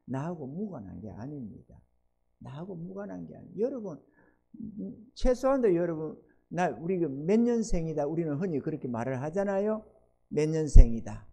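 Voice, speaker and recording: A man in his sixties preaching a Sunday sermon as pastor, swinging between loud emphatic exhortation and soft asides, and asking the congregation for amens. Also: fairly high pitch, big pitch swings, normal speed, creaky. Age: 60s.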